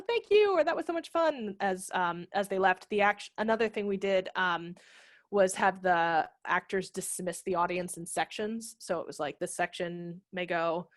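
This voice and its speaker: A woman in her thirties talking casually and explaining in an animated way on a webinar, speaking into a headset. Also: mid-range voice, dry recording, clean studio sound. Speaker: theater director, guest presenter. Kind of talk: casual webinar question-and-answer talk. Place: small home room, video call. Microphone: headset microphone.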